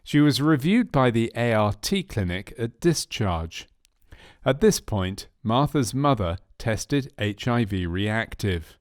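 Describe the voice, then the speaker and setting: A man reading scripted documentary narration for a medical training film in a measured, factual manner. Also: mid-range voice, very animated, dry recording, clean studio sound. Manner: measured, factual delivery of clinical case history.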